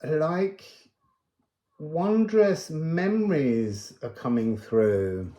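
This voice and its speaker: A man's voice: a little high, animated, drawn-out words, dark tone, roomy sound. A man giving an unscripted spiritual card reading, musing and meandering as he drifts between thoughts. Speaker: oracle card reader and host.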